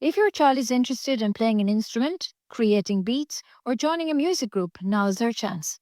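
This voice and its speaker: Higher-pitched Irish woman reading local news. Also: lively intonation, moderate pace, darkish tone, no room sound, studio quality. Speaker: community radio newsreader.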